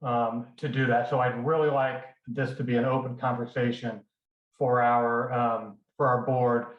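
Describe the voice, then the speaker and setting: A man running for school board, giving an earnest, halting statement with many fillers over a video call. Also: mid-range voice, little pitch movement, reverberant, highs cut off. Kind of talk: forum opening statement.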